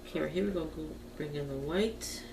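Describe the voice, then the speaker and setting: A woman with a gravelly, creaky voice talks through her colouring in an informal, folksy way. She sounds away from the microphone in an echoey room, with clear noise on the recording. She speaks casually, as if thinking out loud for her viewers. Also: low-pitched, moderate pace. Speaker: host of a colouring tutorial vlog. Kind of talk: casual chat while colouring. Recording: roomy, noisy, distant home camera mic.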